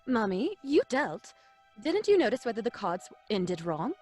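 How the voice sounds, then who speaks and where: An actress with a British accent playing an excitable, easily frightened young daughter in an old-fashioned radio drama. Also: female voice, fairly high pitch, unhurried, husky, soft background music, exaggerated voice. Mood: teasing, curious. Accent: British English.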